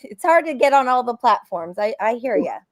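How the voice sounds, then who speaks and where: A woman's voice: on the high side, expressive, fairly quick, dry recording. A woman hosting a casual interview over a video call, reacting with enthusiasm. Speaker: host, interviewer. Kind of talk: casual live-stream interview.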